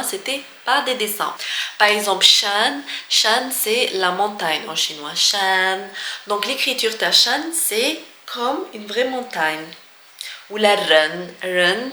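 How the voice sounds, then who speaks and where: Bright-voiced Algerian woman in an echoey room. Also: mid-range voice. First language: Arabic and French. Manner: informal, mixes Algerian Arabic into her talk. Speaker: vlogger giving language tips. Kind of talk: casual educational talk to camera. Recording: echoey room with faint noise.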